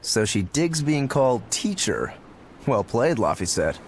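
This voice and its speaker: A man's voice: medium pitch, big pitch swings, measured pace, a little hiss or hum. A man voicing a laid-back, wry male fighter in a video game, casual and colloquial. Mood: amused, approving.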